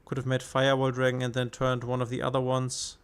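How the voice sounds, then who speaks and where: A man's voice: mid-range voice, monotone, fast talker, smooth. A man from Germany with a German accent, casually commenting on his card game while streaming and thinking aloud with hesitations.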